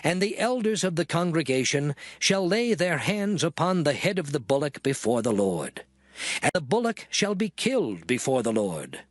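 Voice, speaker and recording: An actor playing a solemn lawgiving voice in a dramatized Bible reading, declaiming scripture. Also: male voice, fairly high pitch, sing-song, moderate pace, no room sound, clean studio sound. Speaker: scripture reader. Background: music under the voice.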